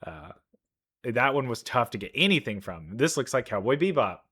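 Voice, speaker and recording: A man's voice: mid-range voice, sing-song, normal speed, fairly bright tone, husky. A man giving casual reaction commentary as a video creator watching game trailers.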